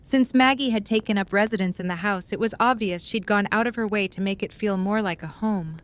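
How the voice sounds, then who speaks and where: A woman's voice: mid-range voice, brisk. A woman reading scripted story narration for an audio drama.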